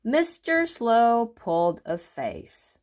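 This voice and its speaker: A woman reading a children's book aloud in an expressive narrating style, with deliberate, dramatic phrasing. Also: on the high side. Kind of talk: expressive storybook read-aloud.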